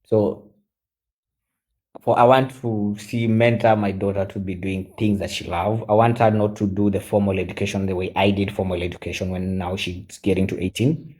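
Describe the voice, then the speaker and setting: A man in his forties, chatting on a live stream as a guest, halting with many fillers and switching into Swahili. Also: lowish voice, level tone, a little mellow. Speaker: guest mentor. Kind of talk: casual live-stream chat.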